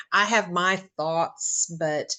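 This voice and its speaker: A woman co-hosting a poetry show, talking casually and reflectively in a musing, halting, agreeable way. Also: lowish voice, sharp tone. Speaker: co-host of a live poetry event.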